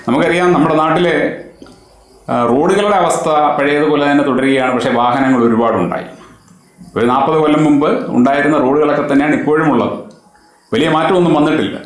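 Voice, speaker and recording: A man delivering an admonishing, emphatic opinion monologue straight to the public, posing rhetorical questions. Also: mid-range voice. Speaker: social commentator. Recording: very echoey, reverberant room.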